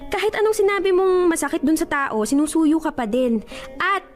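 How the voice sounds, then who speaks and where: A woman playing a pushy, teasing young best friend in a radio drama, with an expressive, coaxing delivery. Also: extremely high pitch, normal speed. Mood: scolding. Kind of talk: Tagalog radio drama acting. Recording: music behind the voice. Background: music.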